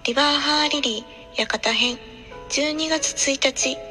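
A woman's voice: high voice, bright, forceful tone, faint music behind, full character voice. A woman acting an urgent, heartfelt, solemn narrator in an audio drama trailer. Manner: solemn, deliberate, intense.

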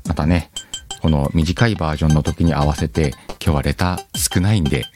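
A man's voice: very deep voice, steady tone, a little fast. A man hosting a casual show, rambling as he chats and reads listener letters, with music behind him. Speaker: host.

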